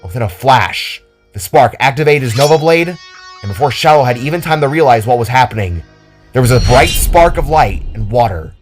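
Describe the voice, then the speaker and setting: Male narrator, medium pitch, dramatic scripted storytelling, guitar music behind. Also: expressive, normal speed.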